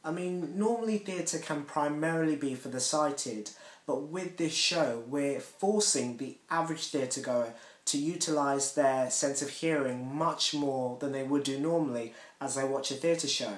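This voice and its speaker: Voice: mid-range voice, measured pace. A man giving an articulate, promotional interview as a member of a theatre company. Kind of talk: interview to camera about a production. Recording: echoey room, faint hiss.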